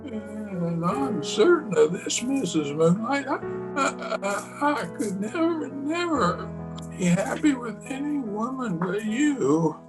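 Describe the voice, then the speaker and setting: An actor playing a feeble, forgetful old husband in a radio play, halting and repeating his words, tender and querulous. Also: sits high, expressive, heavily acted, low-quality recording. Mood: tender, happy. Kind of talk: old-time radio drama acting. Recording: home video call with music.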